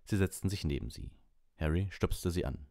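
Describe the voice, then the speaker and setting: A man with a very low voice narrates an audiobook. His delivery swings widely in pitch, and the sound is dry and close. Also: lively intonation, normal speed, vocal fry, mellow, no room sound. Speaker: amateur audiobook reader. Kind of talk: scripted story narration.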